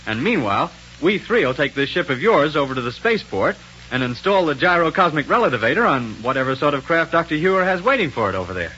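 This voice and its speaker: A dull old radio recording of a hearty space-adventure hero with a bright, forceful voice. He is brisk and eager, with very lively intonation in 1930s serial style. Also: on the high side, a little hiss or hum.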